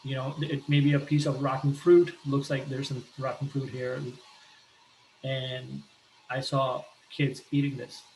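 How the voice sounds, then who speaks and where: A man from Pakistan talking earnestly about his life, pausing often and restarting his sentences. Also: mid-range voice, level tone, darkish tone, echoey room, a little hiss or hum, low-quality recording. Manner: hesitant, earnest, many restarts and fillers. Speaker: entrepreneur sharing a personal appeal. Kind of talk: personal talk with shared images.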